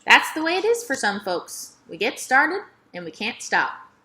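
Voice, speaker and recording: A woman's voice: fairly high pitch, very animated, unhurried, a little hiss or hum, heavily acted. A woman voicing a frank, wise, kindly old Southern woman with folksy dialect in a children's storybook. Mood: frank, regretful. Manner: folksy dialect, gently admonishing. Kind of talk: children's novel read-aloud.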